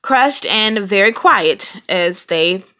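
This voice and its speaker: A woman reading a children's storybook aloud in an expressive, deliberate way with dramatic emphasis. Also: mid-range voice, smooth. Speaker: storybook reader.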